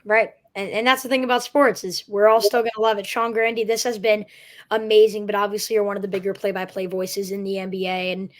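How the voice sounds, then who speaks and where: A man's voice: sits high, flat delivery, a little fast, a bit bright. A young man hosting a podcast interview, speaking admiringly and appreciatively to his guest. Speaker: podcast host, aspiring announcer.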